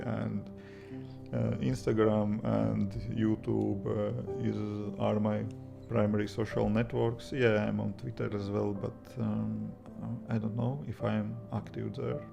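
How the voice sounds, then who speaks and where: A young Latvian man, a native Latvian speaker with a Latvian accent, chatting at home on a livestream, halting and restarting often. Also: fairly low pitch, level tone, vocal fry, highs cut off. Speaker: livestream host, iOS developer. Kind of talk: casual livestream chat reading viewer comments. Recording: guitar music playing behind the voice.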